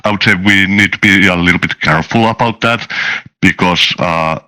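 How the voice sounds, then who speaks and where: A man in a casual discussion, explaining things carefully and haltingly as a developer on a panel. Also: low voice, bright, forceful tone.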